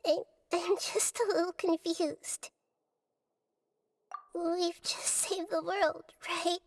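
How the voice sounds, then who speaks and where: Very high, childlike young goddess. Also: female voice, fairly even intonation, takes it slowly, dry recording, heavily acted. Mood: sad, confused. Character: young childlike god of wisdom, gentle and earnest. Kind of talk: video game voice acting.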